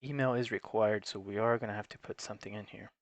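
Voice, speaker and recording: A man's voice: fairly low pitch, steady tone, fast talker, no room sound, dull audio. A man giving an unscripted, matter-of-fact, step-by-step tutorial in an instructional way. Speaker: technical support presenter.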